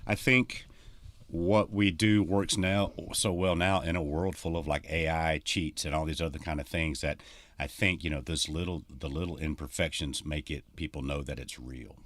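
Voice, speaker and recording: A man with a deep voice, a touring country musician chatting as a podcast guest, telling stories in a rambling way full of "you know". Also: steady tone, moderate pace, fairly bright tone, no room sound. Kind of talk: casual podcast conversation.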